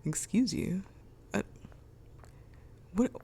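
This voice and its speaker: A young woman with a raspy voice from a cold, chatting her way through a life-sim game with lots of ums. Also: mid-range voice, lively intonation, extremely slow, warm tone, slight background noise. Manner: chatty, thinking aloud with many ums. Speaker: gaming YouTuber. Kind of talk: casual let's-play commentary. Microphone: desk microphone.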